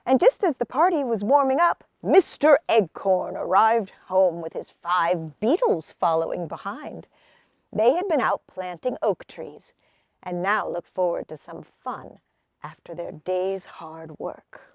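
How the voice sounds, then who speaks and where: A woman reading a children's picture book aloud as a storyteller, with expressive, deliberate phrasing. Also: fairly low pitch, vocal fry, no echo.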